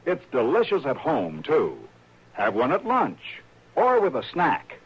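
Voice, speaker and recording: A man delivers a 1950s radio commercial in a breezy, persuasive way. His voice swings widely and is a little husky. It comes through a dull old radio recording. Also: fairly high pitch, wildly swooping pitch, a little slow. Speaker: radio ad announcer.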